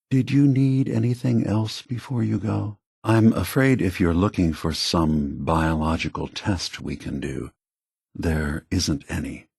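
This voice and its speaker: An actor playing a calm, grave synthetic man, a weary scheming leader, with a husky, measured, deliberate delivery for a video game. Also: sits low, no echo, studio quality, exaggerated voice. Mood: calm, welcoming, then reflective.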